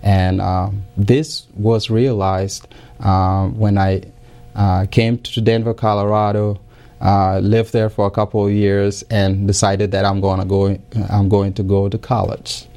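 Low, flat-voiced man with careful speech. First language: not English. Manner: many fillers and restarts, careful, measured. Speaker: interview guest. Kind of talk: hesitant conversational interview answers.

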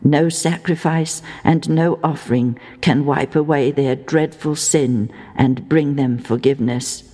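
Almighty God played by a female storyteller, stern and majestic, lowish, deliberate delivery, Bible drama. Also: steady tone, warm tone, long reverb tail. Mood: stern, solemn. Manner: solemn, deliberate, commanding. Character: majestic, stern male God pronouncing judgment.

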